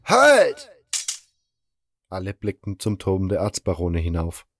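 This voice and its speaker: A man's voice: sits low, fairly even intonation, a little slow, darkish tone, slightly rough, dry recording, clean studio sound. A man narrating a scripted fantasy story in the first person as its narrator. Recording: music behind the voice. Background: music.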